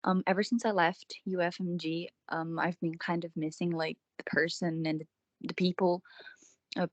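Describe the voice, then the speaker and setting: A woman's voice: on the low side, level tone, moderate pace, no room sound, dull audio. Young Brazilian woman, dry sound. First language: Portuguese. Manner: hesitant, many fillers. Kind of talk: casual conversation on a video call.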